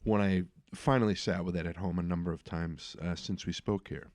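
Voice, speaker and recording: A middle-aged man with a notably low voice hosts a casual podcast chat. He talks in a relaxed way, dotting his sentences with filler sounds. The recording is close and dry. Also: fairly quick, no room sound. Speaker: podcast host.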